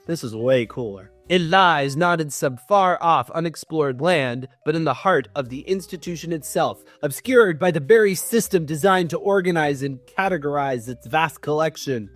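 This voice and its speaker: A man with a somewhat high voice performs a theatrical reading as a comedy host. He shifts between mock-solemn narration and dry, sarcastic commentary. Music plays softly behind his voice. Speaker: host, writing coach. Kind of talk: comedic dramatic book reading with dry asides.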